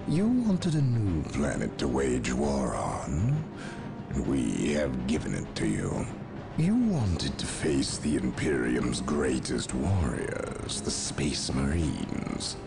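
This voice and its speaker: A cold scheming traitor. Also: male voice, a bass voice, very animated, creaky, full character voice. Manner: cold, measured, disdainful. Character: scheming human male traitor ally of orcs. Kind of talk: video game cutscene acting. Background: music.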